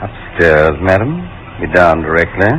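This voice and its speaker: An actor playing a cold, impassive manservant in a 1940s radio drama, formal, clipped and deadpan. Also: male voice, deep voice, moderate pace. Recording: noisy old radio recording.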